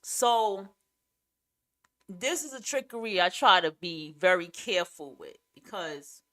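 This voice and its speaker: Raspy New York woman, blunt and emphatic. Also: fairly low pitch, unhurried, fairly bright tone. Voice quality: raspy, carrying voice. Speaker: podcast host. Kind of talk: casual opinionated monologue.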